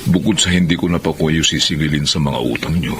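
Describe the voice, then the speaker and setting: An actor playing a calculating moneylender and drug pusher in a Filipino melodrama, falsely polite and insinuating, with a Filipino accent. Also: male voice, very deep voice, fairly quick, noisy background. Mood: sly, tempting. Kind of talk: Filipino radio-style melodrama acting.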